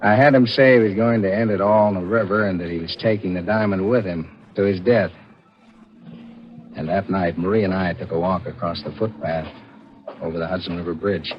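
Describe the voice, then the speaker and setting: A man's voice: sits low, steady tone, fairly quick, slight background noise, lightly acted. An actor playing a scheming, nervous forger and killer who tells his crime in clipped hardboiled style, in 1940s radio thriller acting. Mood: matter-of-fact. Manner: confessional, clipped hardboiled narration. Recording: muffled vintage radio recording, music behind.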